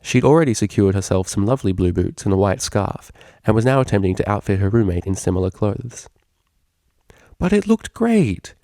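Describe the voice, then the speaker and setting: A man's voice: on the low side, quick pace, dark tone, dry recording, clean studio sound. A man reading a scripted story aloud as its narrator. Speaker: fan fiction reader.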